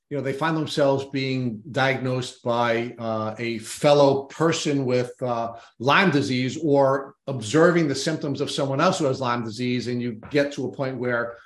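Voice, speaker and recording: A man's voice: mid-range voice, normal speed. A man co-hosting a podcast, introducing his guest in a friendly, enthusiastic way, with some ums between phrases. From Long Island, New York. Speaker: podcast co-host. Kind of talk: interview hosting. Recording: roomy echo.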